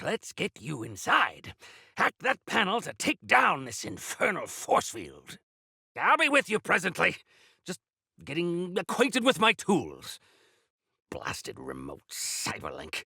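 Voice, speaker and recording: An actor playing a spry, jovial yet fierce old rebel elder in a video game, with a gravelly voice and a grandiloquent, mischievous manner. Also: male voice, high-pitched, bright, forceful tone, no room sound, studio quality, full character voice. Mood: brisk, jovial. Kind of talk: theatrical video game character dialogue.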